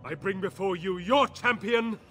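A man's voice: high voice, normal speed, bright, forceful tone. An actor playing a zealous, grandiose cult leader praying to his goddess in a fantasy video game. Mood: fervent praise. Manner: solemn, grandiose, declamatory.

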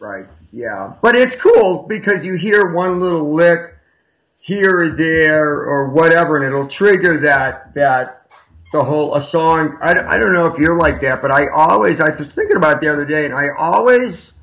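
A man's voice: on the high side, lively intonation, moderate pace, fairly bright tone, rough recording. A middle-aged man talking as a guest musician on a video call, easygoing and offhand. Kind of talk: casual chat on a video call.

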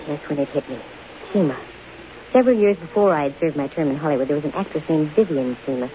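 A woman's voice: sits low, fast talker, close to an everyday voice. An actress playing a bold, dryly wisecracking female private investigator in a 1950s radio detective drama. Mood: reflective. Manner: dry, wisecracking. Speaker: lead actress and first-person narrator of a detective radio show. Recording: hissy, muffled old radio recording.

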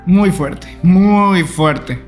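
A young man with a fairly high voice reacts to a talent show in a small home studio, talking into a desk mic. He is excitable and thinks out loud, restarting his sentences. Loud music from the show plays behind him the whole time. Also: drawn-out words. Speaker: reaction video host. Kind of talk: casual reaction commentary.

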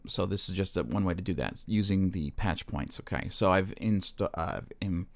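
A man presenting an informal step-by-step music software demonstration, haltingly thinking aloud as he goes. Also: sits low, dry recording. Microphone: microphone recorded straight into screen-capture software.

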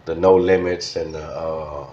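A man's voice: extremely low pitch, steady tone, a little slow, a little mellow. A middle-aged man with a Southern US accent, reminiscing casually as an interview guest in a studio. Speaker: interview guest, former radio DJ. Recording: slightly dull, roomy echoing sound.

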